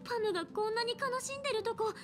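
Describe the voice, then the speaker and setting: A woman's voice: very high-pitched, quick pace, over music. A woman voicing a bubbly, cheeky teenage girl adventurer in an anime game, chatty and slangy. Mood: scolding, worried.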